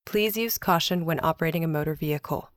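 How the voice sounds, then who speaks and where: A woman announcer reading a scripted advisory in a formal, measured manner. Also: low voice, no echo, clean studio sound.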